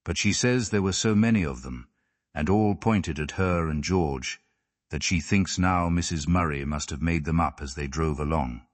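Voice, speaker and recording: A man's voice: rumbling low, normal speed, no echo. A man reading classic fiction aloud as scripted audiobook narration. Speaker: audiobook reader.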